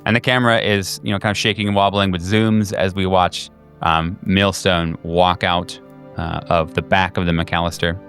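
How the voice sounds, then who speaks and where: Low-voiced man narrating a horror game. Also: flat delivery, normal speed, a bit bright, faint music behind. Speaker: game master and host.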